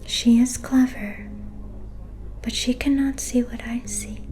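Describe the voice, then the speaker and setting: A woman playing an enigmatic, all-knowing vampire seer in a whispered ASMR roleplay, calm and ominous, speaking in cryptic, deliberate phrases with long pauses. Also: fairly high pitch. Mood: cryptic warning. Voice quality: breathy, unvoiced whisper.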